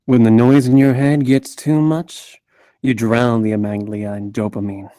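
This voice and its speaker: Casting: male actor for troubled addict haunted by nightmares, mid-pitched voice, dry recording, table-read drama. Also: flat delivery, measured pace, mellow, no room sound, close to an everyday voice. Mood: brooding. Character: troubled, drug-seeking male Rabbit fleeing a nightmare.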